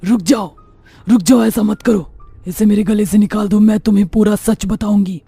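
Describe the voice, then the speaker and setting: A vengeful young witch from a cartoon horror tale, voiced by a woman, menacing and aggrieved. The voice is dark and husky and stays on a flat line. Faint music runs underneath. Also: medium pitch, normal speed. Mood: pleading. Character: vengeful young female witch, wronged ghost of a patient. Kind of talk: Hindi cartoon horror story acting.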